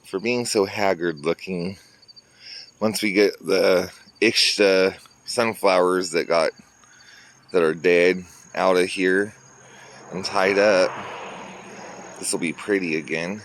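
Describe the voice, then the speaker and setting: A man's voice: sits low, steady tone, slow, pauses often. A man giving a casual walkthrough of his garden to viewers, pointing out plants as he goes and sounding a little congested, recorded outdoors on a handheld phone. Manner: chatty, pointing things out to viewers. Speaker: garden vlogger. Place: outdoors in a garden near a road. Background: faint traffic and outdoor ambience.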